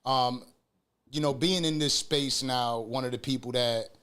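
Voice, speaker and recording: A man's voice: mid-range voice, fairly even intonation, unhurried, a bit bright, smooth. A man hosting a casual interview, talking in an appreciative, informal way and circling hesitantly toward his questions. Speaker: podcast host.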